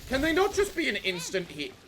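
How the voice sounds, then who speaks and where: A man doing gaming commentary with a voice strained from yelling. He swears and rants at the game in exasperation. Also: extremely high pitch, huge pitch swings, a little fast, slight background noise. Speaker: let's play host.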